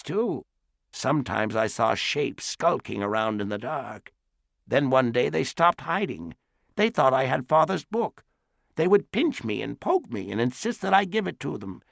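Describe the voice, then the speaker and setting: A man voicing a frail, wistful elderly great-aunt who talks softly and slowly in an audiobook. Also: medium pitch, gravelly, no echo, clean studio sound, pitched above the performer's own voice. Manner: soft, slow and reminiscing. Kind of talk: audiobook character voice.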